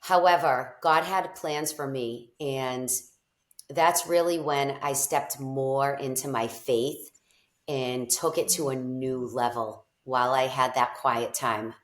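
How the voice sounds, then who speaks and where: Boston lady guest, deep voice, bubbly and gushing, kinda echoey room. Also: fairly bright tone. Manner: bubbly, effusive. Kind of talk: casual interview chat.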